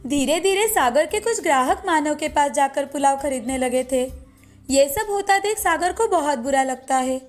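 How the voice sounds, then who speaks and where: A woman narrates a moral cartoon tale in a steady, scripted storytelling manner. Her voice sits high, and faint music plays beneath her. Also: normal speed.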